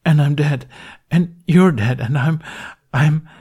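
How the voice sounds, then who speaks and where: A man's voice: a little high, lively intonation, slow, a little mellow, husky, pitched above the performer's own voice, heavily acted. A man voicing a confused, distraught male Jedi master whose voice cracks as he pleads and despairs.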